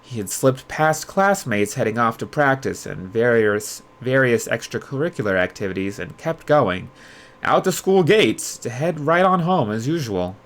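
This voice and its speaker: A man hosting a livestream readalong, moving between expressive storybook narration and casual chat with viewers. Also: medium pitch, normal speed. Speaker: streamer host reading aloud.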